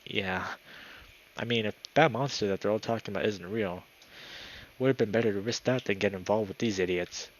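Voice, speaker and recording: A man's voice: on the low side, brisk, slight background noise, rough recording. A man hosting a gaming video, reading a visual novel aloud with commentary and correcting himself when he stumbles over lines.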